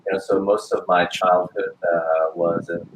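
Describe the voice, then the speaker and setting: A man in his forties speaks in a low, unhurried voice, pausing and restarting as he thinks. The room around him rings with echo. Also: little pitch movement, a little hiss or hum. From Australia. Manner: thoughtful, hesitant, many fillers and restarts. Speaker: guest actor and filmmaker. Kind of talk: casual interview answers.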